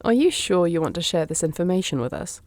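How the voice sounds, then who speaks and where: A woman's voice: on the low side, animated, fast, darkish tone, close to an everyday voice. A woman playing a wry, shrewd thief for hire in a fantasy audio drama, with a dry, teasing, understated delivery. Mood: curious. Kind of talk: fantasy audio roleplay acting.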